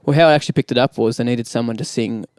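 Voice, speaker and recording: A young man with an Australian accent, a guest chatting casually on a podcast, relaxed and quick to laugh. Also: medium pitch, clear, resonant tone, no echo, clean studio sound. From New Zealand, raised in Australia. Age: young adult. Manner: relaxed, laughs easily, many fillers. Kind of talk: casual chat.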